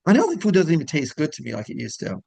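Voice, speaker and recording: Fast-talking man with a creaky voice. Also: a little high, wildly swooping pitch, warm tone, no echo. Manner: rambling, repeats phrases, griping about pet peeves. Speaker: interview show host. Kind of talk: casual conversational interview.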